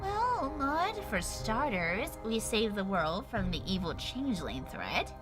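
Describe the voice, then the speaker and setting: A woman's voice: medium pitch, sing-song, a little slow, fairly bright tone, soft background music. A woman voicing a boastful, whiny female pony magician in a cartoon-style fan audio drama, theatrical, brash and petulant. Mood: smug, combative.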